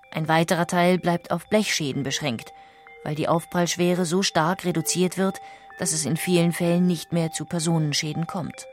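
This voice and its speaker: A woman reading scripted documentary narration in a measured, factual way. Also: on the low side, a little mellow.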